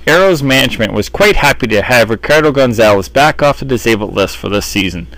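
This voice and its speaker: Guy doing a baseball report like an announcer, dry sound, no room echo, medium pitch. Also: expressive, fairly quick, a bit bright. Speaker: sports newsreel commentator. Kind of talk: sports report commentary on a baseball team.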